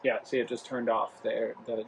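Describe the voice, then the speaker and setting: A man's voice: mid-range voice, flat delivery, normal speed, a crowd in the background, low-quality recording. A young man from Rhode Island, hosting a casual home product review vlog, talking loosely with lots of fillers and restarts. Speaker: travel vlog host. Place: home, living room near the kitchen.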